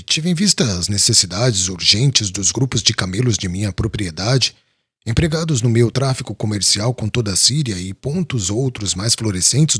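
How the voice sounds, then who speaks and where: A man voicing a wealthy male caravan merchant in an audiobook, explaining matters in a measured, raspy voice. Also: lowish voice, sharp tone, no echo, studio quality, exaggerated voice. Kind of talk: audiobook character reading.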